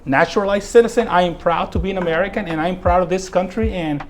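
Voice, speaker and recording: A man's voice: high-pitched, fast. Earnest Mexican-born man telling his story. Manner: earnest, repeats phrases for emphasis. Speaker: real estate agent, guest. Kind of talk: casual interview storytelling.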